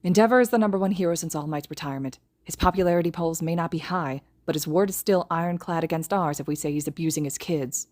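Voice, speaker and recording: A woman's voice: lowish voice, quick pace, hardly any pauses, darkish tone, natural voice. A woman voicing a tense young man who argues with cold stillness and then screams in a fan audiobook.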